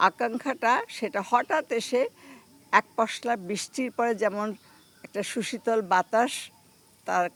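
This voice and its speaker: An older woman with a Bangladeshi accent giving a reflective interview answer in poetic, halting phrases. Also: on the high side. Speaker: actor being interviewed.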